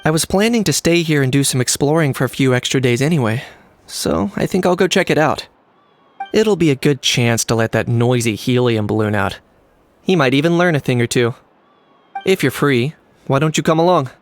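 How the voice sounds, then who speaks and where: A man playing a calm, polite and dryly wry young adventurer in a video game, composed and understated. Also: mid-range voice, moderate pace, close to an everyday voice. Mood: calm, casual.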